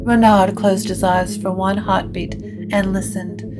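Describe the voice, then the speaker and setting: A woman narrating scripted supernatural fiction in a measured, literary storytelling manner. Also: mid-range voice, flat delivery, over music.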